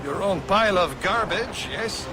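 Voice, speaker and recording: High-voiced, pompous French captain. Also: male voice, lively intonation, a little slow, over music. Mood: grudging, sneering. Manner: pompous, scornful, insulting. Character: pompous, haughty French nobleman assassin and sea captain. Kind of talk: video game cutscene acting.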